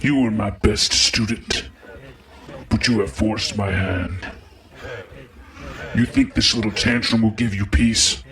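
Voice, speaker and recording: A man's voice: on the low side, steady tone, slow, long pauses, fairly bright tone. A man playing a stern, menacing mentor turned villain, speaking with grave deliberation in an audio drama. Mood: cold menace.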